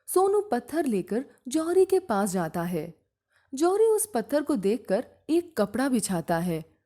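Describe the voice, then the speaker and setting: A woman narrating a scripted moral story in a deliberate, simple storytelling manner. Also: on the high side, dark tone.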